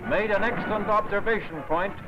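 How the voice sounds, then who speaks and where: High-pitched 1940s newsreel man, lo-fi. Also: brisk. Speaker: newsreel narrator. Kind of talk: 1940s wartime newsreel narration, scripted. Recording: dull lo-fi 1940s newsreel soundtrack. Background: loud music.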